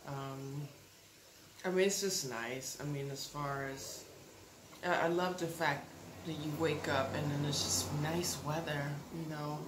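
A woman's voice: low-pitched, steady tone, unhurried. Chatty woman vlogging in an echoey room. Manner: chatty, rambling, many fillers and restarts. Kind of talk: casual vlog chat. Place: living room, indoors. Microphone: camera close up. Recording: roomy echo, audible background noise.

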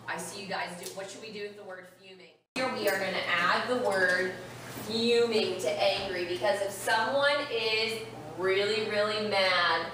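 A young woman teaching an interactive read-aloud to young children, expressive and instructive, stressing key words slowly. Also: mid-range voice. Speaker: primary school teacher. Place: elementary classroom, indoors. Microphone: far camera. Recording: roomy, noisy classroom, distant camera microphone.

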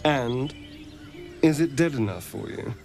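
A man's voice: mid-range voice, lively intonation, a little slow, gravelly, darkish tone, soft background music. An actor playing a suave, sly vampire man in a video game, with theatrical, dramatic delivery and an undercurrent of need. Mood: evasive, smooth. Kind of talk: video game character acting.

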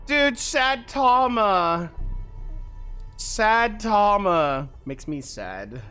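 A streamer giving casual, expressive livestream commentary while playing a game. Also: medium pitch, very slow, clear, resonant tone. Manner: animated, expressive. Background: game music.

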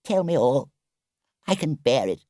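Man playing a boastful, excitable toad gentleman, high-pitched. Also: unhurried, no echo, clean studio sound. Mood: tearful, brave. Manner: theatrical, swaggering, melodramatic.

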